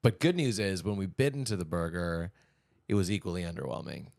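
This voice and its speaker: A man's voice: low-pitched, animated, brisk, gravelly, a little mellow. A man co-hosting a podcast, chatting casually and telling playful, jokey stories. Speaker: podcast co-host. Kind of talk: casual chat.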